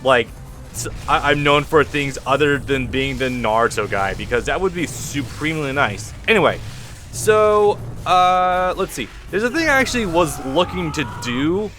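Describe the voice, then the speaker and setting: Male let's play host, loud projecting voice, bright edge, rambling and frank with the swearing. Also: a little high, lively intonation, normal speed, soft background music. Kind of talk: casual gameplay commentary. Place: at home at his computer, thin walls. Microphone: computer recording mic.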